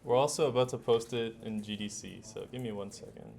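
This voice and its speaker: A young man presenting a casual software tutorial, talking informally as he demonstrates, with keyboard typing. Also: on the low side, steady tone, brisk, gravelly. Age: young adult. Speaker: workshop presenter. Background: faint room noise, keyboard typing.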